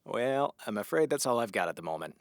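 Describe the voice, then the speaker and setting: An actor playing a grumbling, dramatic radio host in a comedy audio drama, weary and sarcastic. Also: male voice, on the low side, lively intonation, quick pace, no echo, studio quality. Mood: dry, defensive. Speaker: radio station host character.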